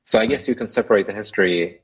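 A man's voice: on the low side, quick pace, fairly bright tone, breathy edge, rough recording. A man from Ukraine, a Russian speaker, explaining things earnestly as an interview guest. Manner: earnest, explanatory, hesitant with fillers. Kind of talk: radio interview answers.

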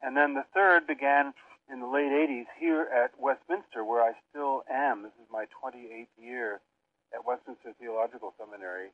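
A man's voice: medium pitch, moderate pace, dry recording. An older man who grew up in Europe, a seminary professor, answering interview questions thoughtfully with many hesitations. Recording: tinny phone line with faint hiss.

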